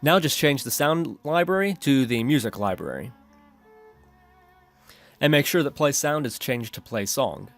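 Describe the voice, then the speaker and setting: A man narrating an instructional screencast as the host of a software tutorial. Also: mid-range voice, lively intonation, unhurried, pauses often, faint music behind.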